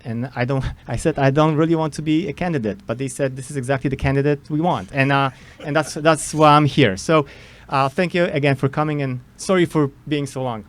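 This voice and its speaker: A man with a Polish accent introduces himself as a political candidate, his voice sitting in a middle pitch. He talks haltingly outdoors, with many fillers and restarts. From Poland. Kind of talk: unscripted debate introduction.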